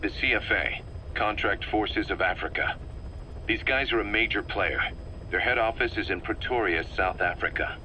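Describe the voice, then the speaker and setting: An actor playing a male mercenary commander who gives a brisk, direct briefing full of blunt warnings. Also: on the low side, noisy background, close to an everyday voice. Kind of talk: video game voice acting.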